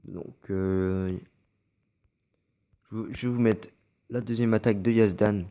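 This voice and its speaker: A French-accented man talks through recorded game matches in a relaxed, rather low voice. He is stuffy from a cold and keeps stopping to restart with fillers. His tone stays mellow and soft throughout. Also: takes it slowly, smooth. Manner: hesitant, many fillers and restarts. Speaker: gameplay commentator. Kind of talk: casual gameplay commentary over recorded matches.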